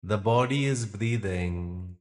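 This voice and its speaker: A man guiding a meditation as a yoga nidra teacher, speaking in gentle instructions with long pauses. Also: on the low side. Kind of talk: guided meditation.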